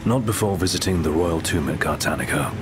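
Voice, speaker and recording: A man playing a composed, formal young adviser in a video game cutscene, measured and restrained. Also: deep voice, background noise, close to an everyday voice. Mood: grave, composed.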